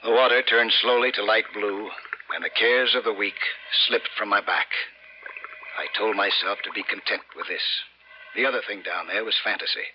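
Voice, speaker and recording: A man's voice: on the low side, steady tone, moderate pace, highs cut off, slight background noise, lightly acted. An actor playing a brooding, dreamy, obsessed skin diver who narrates his own story in an old radio suspense style, musing and dramatic, repeating phrases. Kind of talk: 1950s radio suspense acting, first-person narration. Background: dramatic music.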